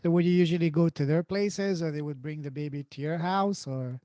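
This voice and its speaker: A man's voice: a little high, a little fast, mellow, no room sound, studio quality. A man from France with a French accent, hosting a podcast and encouraging his guest with short reactions. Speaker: podcast host. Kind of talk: interview questions.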